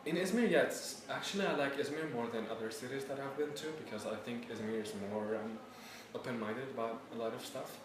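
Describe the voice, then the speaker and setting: A man's voice: medium pitch, brisk, few pauses, crowd noise. A young man in his early twenties from Syria, answering interview questions hesitantly with many fillers. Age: young adult. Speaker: interviewee, student. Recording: echoey room, distant mic, background noise.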